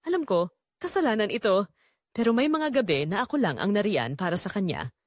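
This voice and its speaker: A woman's voice: mid-range voice, very animated, brisk, dry recording, studio quality. A woman playing a guilty, pleading mother. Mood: guilty, defensive. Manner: pleading, emotional.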